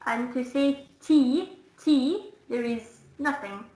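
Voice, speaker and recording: A woman's voice: high voice, slow, warm tone. A woman from Scotland teaching English in a one-to-one online lesson, explaining patiently and demonstrating sounds. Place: indoors, online lesson call. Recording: roomy, echoing online call audio.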